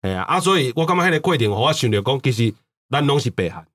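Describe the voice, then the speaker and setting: A man's voice: mid-range voice, sing-song, quick pace, a bit bright. A man with a Taiwanese accent hosting a casual chat interview, mixing Hokkien and Mandarin and often chiming in with agreement. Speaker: podcast host.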